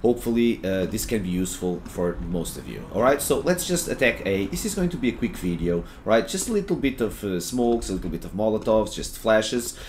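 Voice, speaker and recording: A young man from Portugal with a Portuguese accent, giving a relaxed, halting game tutorial at home with lots of fillers and restarts. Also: fairly low pitch, slight background noise. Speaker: gaming tutorial creator. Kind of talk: casual game tutorial commentary. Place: home, at his computer.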